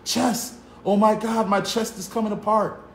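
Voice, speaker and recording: A man reading aloud voices a middle-aged bush pilot, low and hissing, strained with pain. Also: little pitch movement, moderate pace, vocal fry, roomy sound. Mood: panicked. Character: middle-aged male bush pilot, hit by a heart attack.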